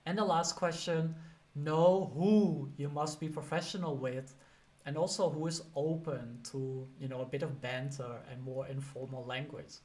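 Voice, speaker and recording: A man's voice: fairly high pitch, fairly even intonation, unhurried, darkish tone. A man with a Dutch accent, a teacher giving motivational career advice in a loose, conversational way, full of "you know" and "like".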